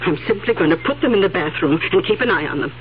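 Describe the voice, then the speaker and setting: A woman's voice: fairly low pitch, animated, fast talker, close to an everyday voice. A woman acting fretful old aunt, muffled radio recording. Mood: bossy, secretive. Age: older. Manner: fretful, hesitant. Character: anxious, secretive, confused elderly aunt hiding her money. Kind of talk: 1970s radio drama acting. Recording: muffled narrow-band 1970s radio recording, hiss.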